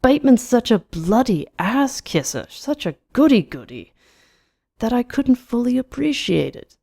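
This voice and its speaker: A woman's voice: on the high side, very animated, measured pace, gravelly, mellow, voice pushed up, heavily acted. A woman playing a mocking, dismissive club-going man in an audiobook, drawling and emphatic. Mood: amused mocking.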